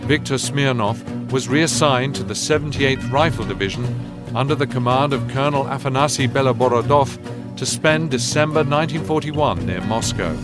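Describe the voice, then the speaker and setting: A man's voice: medium pitch, natural voice. A man narrating a war video game in a scripted, documentary-like style. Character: male narrator of a Second World War campaign. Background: music.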